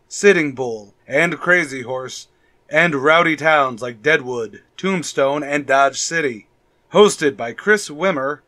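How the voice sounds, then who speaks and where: Higher-pitched male radio announcer. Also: a bit bright. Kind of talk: scripted old-time radio announcing.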